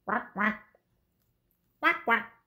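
Friendly farmyard animals from a storybook, voiced by a young woman, call out in drawn-out cries and ask playful questions. The voice is high and animated, and it takes its time over each invitation. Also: heavily acted.

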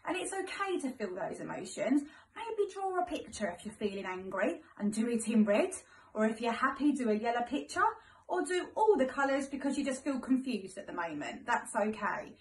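A British woman in her thirties, a teacher reading a picture book aloud to young children in an expressive, gentle way. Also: fairly high pitch, normal speed, slight background noise. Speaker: primary school teacher. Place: living room, indoors. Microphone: phone camera a few metres away. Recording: echoey room, far from mic.

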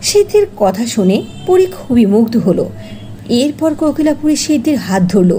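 A woman's voice: high voice, very animated, normal speed, dark tone, faint music behind. A woman narrating a scripted fairy tale as a storyteller. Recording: faint noise under voice-over.

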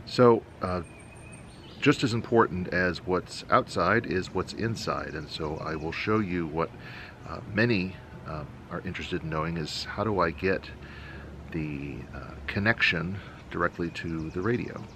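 A middle-aged man with a low, deep voice explains things casually as a channel host. His delivery is halting and unhurried. He is outdoors in a yard, with clear ambient noise around his voice. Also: a bit bright. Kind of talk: casual explanatory vlog talk. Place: outdoors in a leafy backyard.

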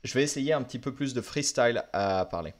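A man's voice: mid-range voice, expressive, fast. A young French man, a language teacher, reviewing apps in a casual vlog, frank and thinking aloud with many fillers. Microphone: camera mic.

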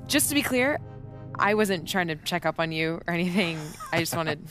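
Friendly, confident young American student, lowish female voice, natural game dialogue, soft music behind. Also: lively intonation, moderate pace. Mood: friendly, reassuring. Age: young adult. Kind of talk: casual conversational game cutscene acting.

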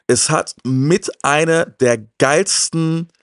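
A man's voice: a little high, slow, dry recording, clean studio sound. A man, chatting casually and telling personal stories in emphatic, slangy words. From Germany. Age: early 40s. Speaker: host of a retro TV talk show. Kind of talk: casual chat, personal anecdotes. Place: indoor room with shelves of films. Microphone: handheld microphone.

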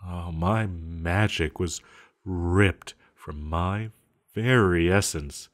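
An ancient villain sorcerer, acted by a man speaks in a low voice at an extremely slow pace. He muses calmly between hums and halting pauses, as in an intimate audio drama, with menace kept quiet underneath. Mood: soft, reflective. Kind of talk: intimate scripted audio roleplay monologue.

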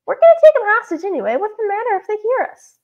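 A woman voicing a squeaky, nervy male robber henchman in a storybook reading. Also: sing-song, fast talker, darkish tone, dull audio. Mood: protesting.

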